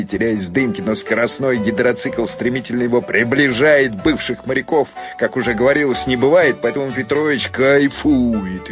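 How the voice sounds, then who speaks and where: A man with a low voice reads a short story as a radio narrator. He takes his time, pausing often and shifting emphasis as he tells it. Faint music plays behind him. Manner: unhurried, with many pauses and shifting emphasis. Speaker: narrator reading prose for a radio audio play. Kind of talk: expressive literary narration, storytelling.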